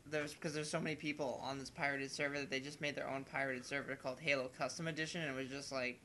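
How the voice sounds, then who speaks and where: A man's voice: medium pitch, flat delivery, fast talker, sharp tone. A young man chatting casually about games as a podcast guest, rambling and rephrasing himself as he goes. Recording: amateur podcast, clear background noise.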